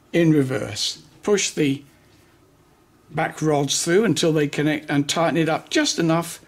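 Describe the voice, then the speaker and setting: Guy doing a hands-on how-to, kinda high voice but gravelly, takes his time with lots of gaps. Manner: unhurried, pausing mid-sentence while working. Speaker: host of a hi-fi repair channel. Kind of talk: unscripted hands-on demonstration commentary.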